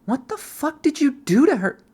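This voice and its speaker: A streamer voicing a brash, excitable, foul-mouthed young woman in a visual novel. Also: high voice, normal speed, pitched above the performer's own voice. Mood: shocked, angry.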